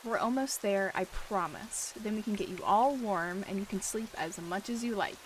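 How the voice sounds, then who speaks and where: A woman voicing an angry, scheming male warrior cat deputy, often in a hushed, urgent tone. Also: mid-range voice, normal speed, slight background noise, natural voice, low-quality recording.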